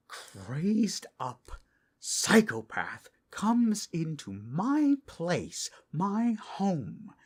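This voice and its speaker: A man playing a possessive, lovestruck yandere kidnapper in a roleplay audio drama, with a breathy voice that often drops into whispers and a theatrical, intimate menace. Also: on the high side, heavily acted. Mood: indignant. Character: possessive creepy yandere kidnapper, obsessively lovestruck, easily outraged.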